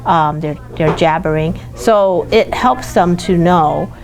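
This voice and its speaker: A woman speaking as a teacher in an interview, explaining her classroom practice haltingly as she feels for words. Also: on the low side, soft background music.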